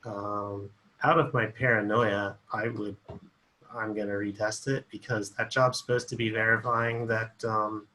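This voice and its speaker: A man on a video call calmly explaining details in a casual meeting. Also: fairly low pitch, a little slow, a little hiss or hum, low-quality recording. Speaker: meeting participant.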